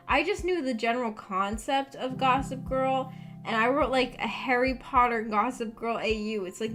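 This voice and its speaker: A woman's voice: high voice, fairly bright tone. A woman livestreamer rambling casually to her viewers in a hesitant, self-deprecating way, as the host. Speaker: livestreamer hosting a visual novel book club. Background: background music.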